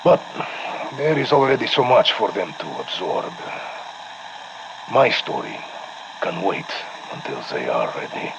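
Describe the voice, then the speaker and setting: An actor playing a weary older Russian ex-special forces soldier with a Russian accent, recording a grave, reflective audio log for a game. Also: male voice, low-pitched, wildly swooping pitch, measured pace, creaky, full character voice. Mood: weary, brooding. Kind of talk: scripted audio-log monologue. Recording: noisy radio audio log.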